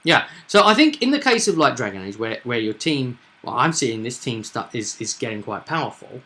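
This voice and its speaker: A man's voice: medium pitch, big pitch swings, normal speed, sharp tone. A man hosting a casual recap of a tabletop roleplay session, telling it as a story and acting out bits of dialogue and sound effects.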